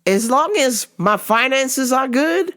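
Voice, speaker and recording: Slow-talking congested woman podcast host. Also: sits high, animated, a bit bright. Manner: profane, rambling, laughs often. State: congested with a sore throat. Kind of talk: casual solo podcast monologue.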